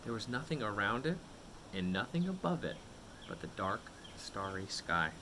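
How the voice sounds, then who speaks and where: A man reading a children's picture book aloud expressively, with dramatic drawn-out words and suspenseful pauses. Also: lowish voice, sing-song, background noise.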